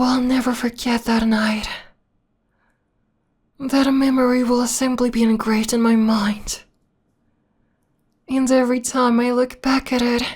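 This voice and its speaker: Woman voicing a female vampire lover, soft breathy whispers, very slow, even-toned, sweetly controlling, obsessed, ASMR roleplay. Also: a little high.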